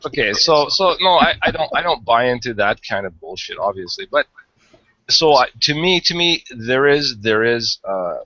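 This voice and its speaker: A man's voice: mid-range voice, expressive, moderate pace, pushed and bright, dry recording. A Hungarian-born man chatting casually in an online hangout, rambling and hesitant, swearing often. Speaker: guest caller. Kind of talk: casual chat. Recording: lo-fi online call audio.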